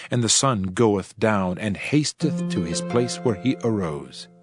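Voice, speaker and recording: An actor playing a world-weary preacher king in a dramatized scripture reading, solemn, measured and oratorical. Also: on the low side, natural voice. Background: background music.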